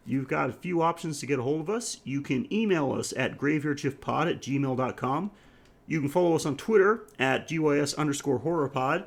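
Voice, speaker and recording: A man co-hosting a podcast, chatting casually through the show's sign-off and listener plugs. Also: fairly high pitch. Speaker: podcast co-host. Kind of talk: casual podcast outro chat.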